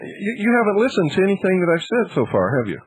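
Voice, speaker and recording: A man's voice: high voice, big pitch swings, fast talker, slight background noise, low-quality recording. A man hosting a live radio call-in show, debating in a blunt, argumentative way and cutting in. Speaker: talk radio host.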